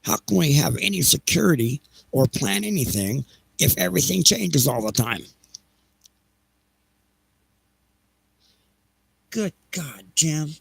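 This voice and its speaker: Peevish executive played by a man, audio drama, whiny and defensive, raised pitch, creaky, husky. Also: big pitch swings, moderate pace, no echo, heavily acted. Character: peevish, defensive railroad executive making excuses.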